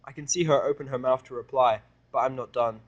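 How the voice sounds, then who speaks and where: A man's voice: medium pitch, fairly even intonation, fast talker, dull audio. A man reading a first-person story aloud as dramatic narration for a fan audio drama.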